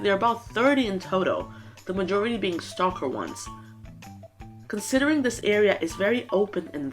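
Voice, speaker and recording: A woman with a nasal, congested voice from a cold, giving an earnest, scripted game ranking. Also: on the low side. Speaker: gaming YouTuber.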